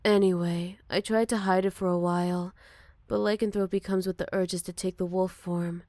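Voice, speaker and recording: A woman voicing a gentle, motherly older werewolf, wistful and protective, in a soft and caring ASMR roleplay. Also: on the low side, flat delivery, no room sound, natural voice. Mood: wry, reflective. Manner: soft, caring, unhurried, slipping into whispers. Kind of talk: ASMR roleplay, intimate one-sided dialogue to listener.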